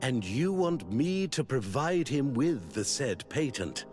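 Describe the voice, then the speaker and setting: A man playing an officious, self-important government minister in a video game, deliberate and smoothly obsequious. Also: mid-range voice, very animated, darkish tone, faint music behind. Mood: stalling, condescending. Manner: pompous, bureaucratic, deliberate. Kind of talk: video game dialogue acting.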